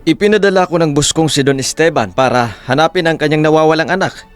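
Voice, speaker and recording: A man's voice: on the high side, fast, soft background music, close to an everyday voice. A man playing an earnest, loyal aide to a rich don in an audio drama, speaking hesitantly and imploringly. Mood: earnest pleading.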